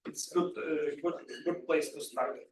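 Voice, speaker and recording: A middle-aged man from Ukraine with a Russian accent gives an informal technical workshop talk, haltingly and often clearing his throat. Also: on the high side, steady tone. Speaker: workshop presenter, security engineer. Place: indoor room at a live workshop. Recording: roomy echo, distant mic, faint noise.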